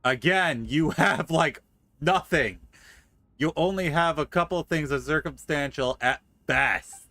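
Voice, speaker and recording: A man's voice: on the high side, unhurried, pushed and bright, dry recording. A man doing casual, joking gameplay commentary as a game streamer. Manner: informal, joking, exclamatory. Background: faint game music.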